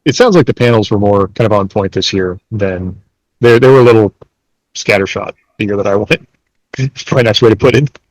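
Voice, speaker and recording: A man's voice: fairly low pitch, a little fast, no room sound, low-quality recording. A man chatting casually as a podcast host.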